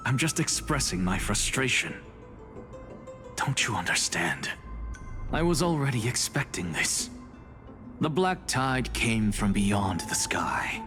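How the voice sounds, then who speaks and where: A man's voice: on the low side, big pitch swings, unhurried, long pauses, over music. A man voicing a harsh, proud scholar in a video game, speaking with dry, theatrical scorn. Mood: scornful, impatient. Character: harsh, proud male scholar, sardonic teacher.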